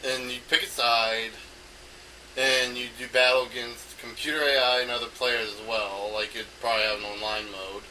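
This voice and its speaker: A man with a strikingly sharp, bright voice talks off the cuff in a hesitant, rambling way, working out his ideas aloud. His pitch sits in a middle range. He sounds set back from the microphone in an amateur recording. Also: steady tone. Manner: hesitant, halting, thinking aloud. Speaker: amateur game designer recording an audio log. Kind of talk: unscripted rambling explanation. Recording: noisy home recording, distant from mic.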